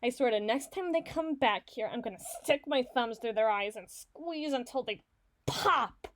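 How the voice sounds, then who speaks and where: A brash villain woman voiced by an actress in an ASMR roleplay, with a fairly high voice that swings widely in pitch. She blusters, swears and needles her partner with sarcasm, stubborn and hot-tempered but gruffly soft underneath. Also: animated, normal speed, slight background noise. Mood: furious ranting.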